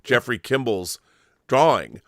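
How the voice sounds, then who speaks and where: A man hosts a casual interview in a medium-pitched voice. He argues his points with a fan's emphasis, circling back and restarting phrases. The sound is dry, with very little room in it. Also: animated, slow, a bit bright, dry recording. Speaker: podcast host, interviewer. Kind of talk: casual chat, enthusiastic fan commentary.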